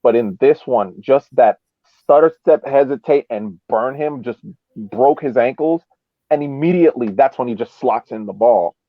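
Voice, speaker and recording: A man's voice: medium pitch, normal speed, dry recording. Man on a thin phone-like line. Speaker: soccer podcast panelist. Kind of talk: casual sports discussion. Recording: phone-like, band-limited call audio.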